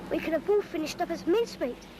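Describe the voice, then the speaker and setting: High-pitched cheeky English child. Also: female voice, lively intonation, fast talker, noisy background. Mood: wry, chatty. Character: young British schoolchild, cheeky, exasperated by grown-ups. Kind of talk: informal first-person narration in a public information film.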